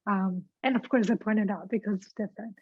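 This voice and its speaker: A woman's voice: medium pitch, fairly even intonation, quick pace, breathy edge, dry recording. A woman with a light Greek accent answering an interview question hesitantly, searching for words. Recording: dry, slightly muffled.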